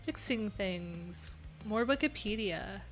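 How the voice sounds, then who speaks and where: A woman's voice: medium pitch, animated, takes it slowly, a bit bright. A woman hosting a casual livestream, reading out viewers' answers and adding loose, chatty asides with lots of fillers. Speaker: livestream host. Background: pop punk music playing.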